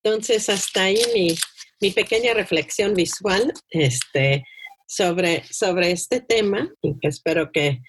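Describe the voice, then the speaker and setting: A woman, a guest scholar giving a reflective talk at an online panel in a husky voice. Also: sits low, no echo, low-quality recording. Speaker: invited speaker, art scholar.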